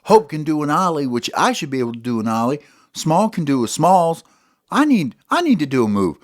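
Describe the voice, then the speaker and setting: A man's voice: on the high side, very animated, brisk, voice pushed up. A man voicing a proud, stubborn young male bird critter who talks in a boastful, huffy way.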